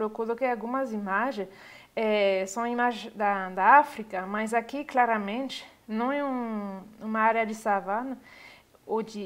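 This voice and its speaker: A woman, giving an online university lecture over slides, pausing often with fillers as she searches for words. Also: medium pitch. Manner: hesitant, many filler pauses. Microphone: webcam on a video call.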